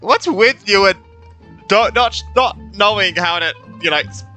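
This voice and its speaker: A man's voice: high-pitched, a little slow, sharp tone, soft background music. A young man doing casual, reactive playthrough commentary, muttering asides and repeating himself. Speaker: gaming streamer.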